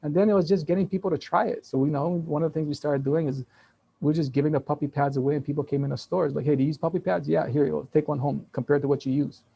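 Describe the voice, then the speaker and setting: A man of fifty, raised in Taiwan and Hawaii with Taiwanese as his first language, telling business stories as a guest in a casual interview with lively restarts and laughs. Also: fairly high pitch, fast, gravelly, husky, rough recording. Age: 50s. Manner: animated storytelling with frequent restarts and laughs.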